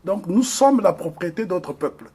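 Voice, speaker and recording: A man's voice: high voice, lively intonation, normal speed, warm tone, slightly rough. A man from the Republic of the Congo, speaking as an interview guest in an earnest, emphatic way with frequent hesitations. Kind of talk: interview discussion.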